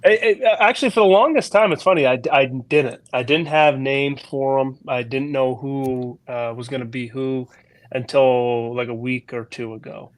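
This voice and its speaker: Male guest, average pitch, halting and casual delivery. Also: no room sound. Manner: hesitant, full of ums and restarts. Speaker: guest comic creator. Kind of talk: casual interview chat.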